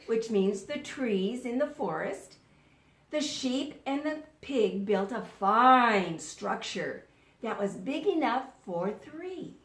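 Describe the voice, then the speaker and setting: A woman's voice: on the high side, a bit bright. A woman teacher giving a read-aloud lesson for children, telling the story expressively and putting questions to her listeners. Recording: roomy, echoey sound.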